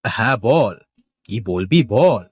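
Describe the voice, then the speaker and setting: A man puts on a village merchant boss for a cartoon. His pitch sits in the middle to low range, and he speaks in a commanding, familiar way, like a master to his workers. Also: big pitch swings, slow, slightly rough, soft background music, full character voice. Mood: brisk, welcoming. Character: bossy fish wholesaler, village merchant master. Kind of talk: Bengali cartoon dialogue acting.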